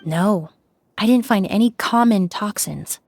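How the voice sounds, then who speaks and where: An actress playing a calm, analytical young woman perfumer who investigates a poisoning in a video game, speaking with precise wording. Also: mid-range voice, measured pace, vocal fry, faint music behind.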